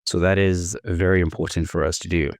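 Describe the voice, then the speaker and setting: A man recovering from a cold, his voice slightly hoarse, leading a devotional reflection in an unhurried, gentle way with frequent self-corrections. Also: low-pitched, steady tone, no room sound, studio quality. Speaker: devotional host.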